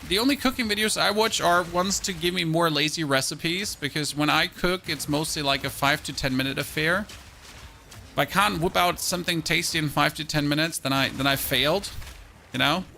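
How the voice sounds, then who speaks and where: A man's voice: moderate pace, vocal fry, pushed and bright, a little hiss or hum. A man streaming a game and chatting casually with viewers, rambling and repeating words as he restarts his sentences. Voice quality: high-pitched voice. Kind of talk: casual gameplay chat with viewers.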